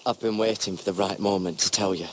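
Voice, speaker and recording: An actor playing a dutiful, wronged young squire, grieving and earnest, in a fantasy game drama. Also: male voice, low voice, fairly even intonation, fast, a little hiss or hum, close to an everyday voice. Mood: earnest, subdued.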